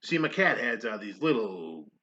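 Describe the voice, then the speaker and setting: A man playing a folksy army staff sergeant and mess cook, telling his story in a theatrical monologue with pauses and emphatic swells. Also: lowish voice, big pitch swings, bright, forceful tone. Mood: folksy, nostalgic. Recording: dull, lo-fi sound.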